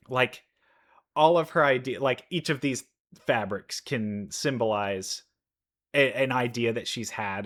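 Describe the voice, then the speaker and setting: A man giving casual reaction commentary, rambling and thinking out loud as he goes. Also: lowish voice. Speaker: reaction video host. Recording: game music playing behind. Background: game trailer music.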